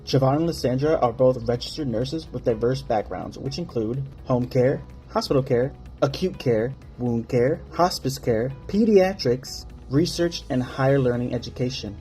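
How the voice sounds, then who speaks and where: A man's voice: mid-range voice, moderate pace, hardly any pauses, warm tone. A man reading a scripted sponsor ad, running through lists. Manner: listing, scripted read. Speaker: ad reader. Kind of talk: read sponsor ad. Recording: faint music underneath.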